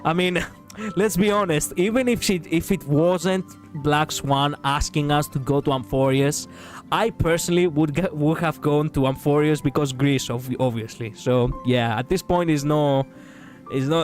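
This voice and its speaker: Raspy-voiced male game streamer. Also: on the high side, moderate pace, faint music behind. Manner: rambling, laughing, swearing. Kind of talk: casual gaming stream chat.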